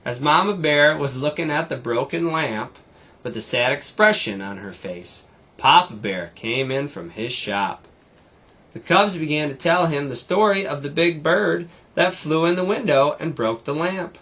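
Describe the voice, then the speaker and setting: Animated, bright-voiced man reading to children. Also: a little high, measured pace. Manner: explains and comments for young listeners. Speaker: storybook reader for schoolchildren. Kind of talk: expressive children's read-aloud.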